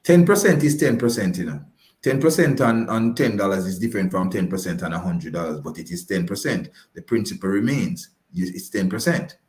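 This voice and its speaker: A man's voice: sits low, animated, fairly quick, darkish tone, echoey room. A man giving conversational advice as a radio guest, emphatic and repeating key phrases to reassure. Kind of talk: conversational advice on a live broadcast.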